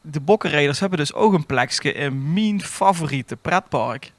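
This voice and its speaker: A man's voice: a little high, lively intonation, normal speed, dry recording. A man with a Dutch Limburg accent, co-hosting a podcast with casual chat and informal storytelling. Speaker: podcast co-host.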